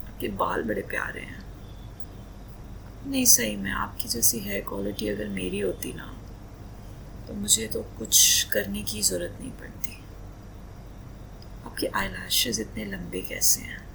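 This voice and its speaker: A woman playing a loving, playful wife in a romantic roleplay ASMR, speaking softly and breathily in an intimate, coaxing manner, often slipping into whispers. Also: mid-range voice, unhurried, vocal fry, pauses often, noisy background. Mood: playful, affectionate. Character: loving, emotional, playful young wife doting on husband.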